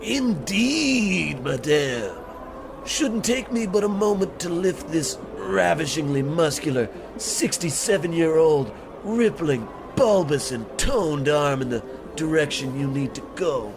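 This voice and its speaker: Male actor as old ex-convict attendant, chatty, mock-courteous, slightly high voice, radio-play comedy, clear background music. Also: animated, takes it slowly, heavily acted. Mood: grandly showy.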